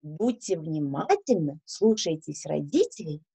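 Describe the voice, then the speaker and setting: A woman in her thirties telling a story to children in a deliberate, expressive, instructive way. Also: sits low, gravelly, slightly rough. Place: small indoor room with bookshelves.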